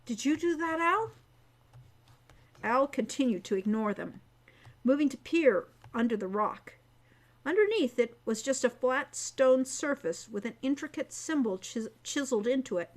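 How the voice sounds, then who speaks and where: A woman's voice: fairly high pitch, lively intonation, normal speed. A woman reading a scripted fantasy story as an audiobook narrator. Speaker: author reading own audiobook.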